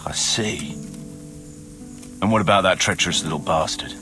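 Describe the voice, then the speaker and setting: An actor playing an irascible, brusque, foul-mouthed knight in a video game cutscene, with music behind. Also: male voice, low-pitched, unhurried. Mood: curt. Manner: brusque, forceful.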